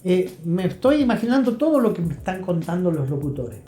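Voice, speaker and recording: A man's voice: high voice, big pitch swings, a little fast, dark tone. A middle-aged Argentine man chatting reflectively as an interview guest, explaining things and checking in with short tag questions. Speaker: interview guest, health professional. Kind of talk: casual, reflective interview talk. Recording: roomy sound with music.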